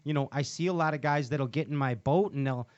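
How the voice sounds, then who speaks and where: A man hosting a casual video-call chat, excitable, stammering and laughing often. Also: fairly high pitch, a little fast, lo-fi sound. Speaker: show host.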